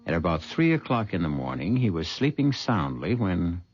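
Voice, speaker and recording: A man hosting and narrating a 1970s radio mystery in a measured, ominous storytelling style. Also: low-pitched, very animated, heavy background noise. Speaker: show host and narrator. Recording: dull vintage radio with music.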